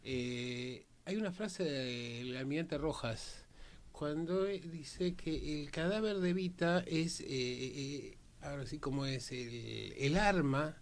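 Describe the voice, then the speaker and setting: Higher-voiced Argentine man explaining. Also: slow. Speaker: history programme panelist. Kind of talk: explanatory political history discussion.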